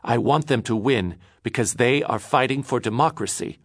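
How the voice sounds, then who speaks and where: A man's voice: mid-range voice, moderate pace, no echo, clean studio sound, pitched above the performer's own voice, lightly acted. Man voicing a frightened pleading boy. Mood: begging. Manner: soft, begging. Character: frightened teenage boy, grocer's son.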